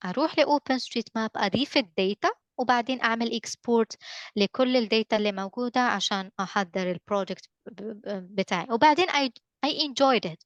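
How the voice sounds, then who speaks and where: Woman, moroccan accent, quick delivery, dry close sound, halting with fillers. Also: a little high, dry recording. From northern Morocco. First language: Arabic. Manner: hesitant, many fillers and restarts, friendly advice tone. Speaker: guest speaker from a mapping company. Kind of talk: webinar answer, mixing languages mid-sentence.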